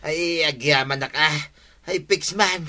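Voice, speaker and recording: A man's voice: a little high, a little slow, bright, forceful tone. An Ilocano man playing a hapless, apologetic villager in a radio comedy drama, pleading and making excuses in a whiny manner. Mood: sheepish.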